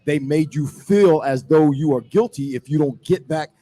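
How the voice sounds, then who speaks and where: A man's voice: a little high, normal speed, dark tone. A middle-aged man, a former player on a sports talk panel, emphatic and repeating phrases for weight.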